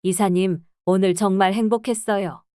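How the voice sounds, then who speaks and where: A woman's voice: medium pitch, fairly even intonation, normal speed, clean tone, no echo, studio quality, close to an everyday voice. A woman voicing a timid housekeeper in her sixties. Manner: hesitant, soft, deferential.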